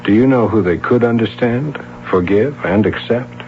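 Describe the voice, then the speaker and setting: Man playing a calm coaxing police officer. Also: medium pitch, moderate pace, a little hiss or hum, natural voice. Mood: persuasive. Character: steady police officer, adult man, persuasive. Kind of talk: 1970s radio drama acting. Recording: dull old radio recording.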